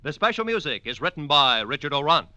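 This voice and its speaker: A man's voice: on the high side, sing-song, fairly quick, over loud music. A man reading credits and promos as a scripted 1940s radio announcer.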